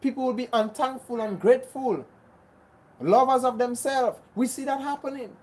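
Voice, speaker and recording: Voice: high-pitched, moderate pace, darkish tone, low-quality recording. Streamer talking to chat, preachy, keeps repeating itself, lots of restarts. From Grenada. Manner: earnest, repeats phrases, restarts often; preachy, insistent. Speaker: livestream host. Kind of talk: casual livestream chat answering viewers.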